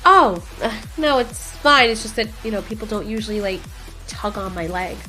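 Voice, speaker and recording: A woman's voice: medium pitch, lively intonation, moderate pace, pushed and bright, soft background music, rough recording. A woman voicing an impatient female giant moth monster who speaks politely but curtly in an audio drama. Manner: hesitant politeness turning curt.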